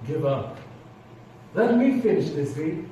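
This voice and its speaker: A man's voice: on the high side, very animated, takes it slowly, dark tone. A man acting a bitter, wronged young former police officer in a live stage play, insistent and aggrieved. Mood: grim, urgent. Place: theatre stage with rows of seats. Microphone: distant camera. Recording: echoey theatre stage from far off, noisy.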